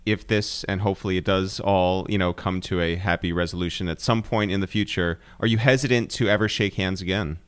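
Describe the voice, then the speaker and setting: A man hosting a casual interview from home, asking halting questions full of fillers. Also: deep voice, steady tone, clear, resonant tone. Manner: halting, many fillers and restarts. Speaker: host. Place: apartment.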